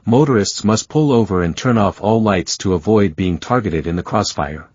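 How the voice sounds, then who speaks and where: A man reading a scripted emergency alert bulletin in a formal, even, measured way. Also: lowish voice, slightly rough, dry recording. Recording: dull lo-fi dry broadcast audio.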